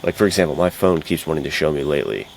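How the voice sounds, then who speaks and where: Extremely deep-voiced man in earnest chat. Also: expressive, rapid-fire, creaky, slight background noise. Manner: earnest, informal. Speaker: podcast co-host. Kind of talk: casual podcast chat.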